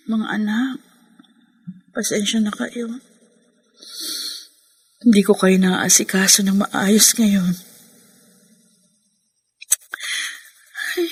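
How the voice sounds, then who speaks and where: A woman playing a weary, helpless wife and mother in a radio drama, speaking in a subdued, halting way. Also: medium pitch, a little mellow, slightly rough. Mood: tired tenderness. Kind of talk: Tagalog radio drama acting.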